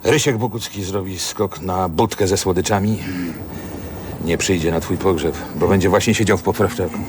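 An older male actor playing a reflective poet recalling his youth in a radio drama, speaking in a measured literary manner. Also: deep voice, a little hiss or hum, close to an everyday voice. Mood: calm, wistful. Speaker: narrator and protagonist.

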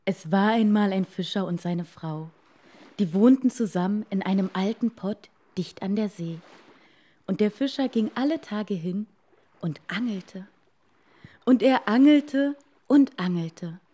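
Female storyteller, medium pitch, unhurried and expressive bedtime fairy-tale narration with dramatic pauses. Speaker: storyteller, podcast host.

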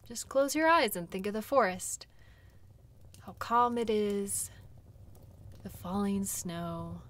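A woman playing a friendly, caring dog sled guide in an intimate audio role play, gentle and teasing. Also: medium pitch, takes it slowly, a little hiss or hum. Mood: soothing, hushed.

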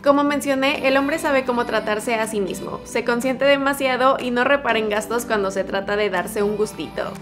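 Upbeat young woman host, higher voice. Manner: upbeat, chatty, addressing viewers directly. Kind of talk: scripted celebrity video presentation. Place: studio with plain backdrop, voice over music.

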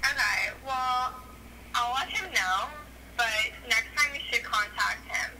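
A woman's voice: a little high, slow pace. A woman working in a shop, talking casually and hesitantly on a speakerphone call, asking puzzled questions. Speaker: shop employee answering a phone call. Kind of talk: casual phone conversation. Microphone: phone speaker picked up by camera. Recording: noisy phone call on speakerphone.

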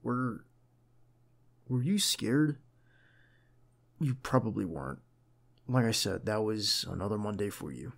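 A man playing a protective, caring bodyguard in an ASMR roleplay, talking conversationally and with gentle insistence to his boss. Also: lowish voice, expressive, a little slow, creaky, long pauses, full character voice. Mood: hesitant, tender. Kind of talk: ASMR roleplay acting, one side of a dialogue.

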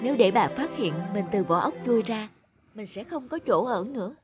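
A dutiful young fairy girl from a cartoon folk tale, acted by a woman. She is gentle and earnest, with a fairly high voice, heard over music in slightly muffled audio. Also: animated, moderate pace, close to an everyday voice. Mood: hurried.

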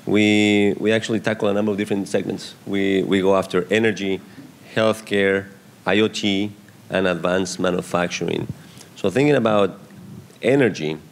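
A middle-aged man from Colombia with a Colombian accent, speaking into a handheld mic on a conference panel stage, explaining in a measured way. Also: deep voice, vocal fry. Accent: Colombian Spanish. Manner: measured, explanatory, restarts phrases. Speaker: venture investor panelist. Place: large conference hall stage. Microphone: handheld microphone.

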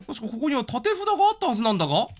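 A man's voice: extremely high pitch, huge pitch swings, racing, soft background music, pitched above the performer's own voice, heavily acted. A man voicing a pompous, strict park keeper in video game dialogue, officious and commanding.

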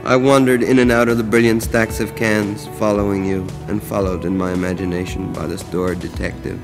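A man reciting a poem as a scripted voice-over, in a measured, contemplative manner. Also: on the low side, flat delivery. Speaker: poem narrator. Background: music.

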